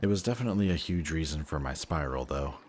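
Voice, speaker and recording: A man acts out a reformed antihero with an extremely low voice, talking closely to a best friend in an audio drama. He is remorseful, affectionate and emotionally open, with a hesitant conversational flow. Noticeable ambient noise sits behind him. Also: expressive, fast talker, darkish tone. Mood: remorseful, sincere. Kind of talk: intimate audio roleplay acting addressed to the listener.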